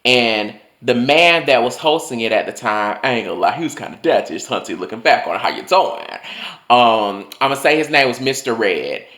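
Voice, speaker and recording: A young man telling personal stories in a loose, chatty way, his voice swinging higher and lower as he talks. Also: animated, moderate pace, sharp tone, roomy sound. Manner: chatty, loose, full of "like" and swearing. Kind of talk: casual storytelling monologue.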